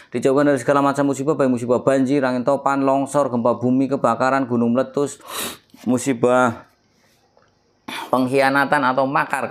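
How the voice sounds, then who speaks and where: Man with a stuffy, nasal voice. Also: medium pitch. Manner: measured, prayerful openings with drawn-out pauses and fillers. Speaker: keris collector and channel host. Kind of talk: slow devotional-toned explanation to camera.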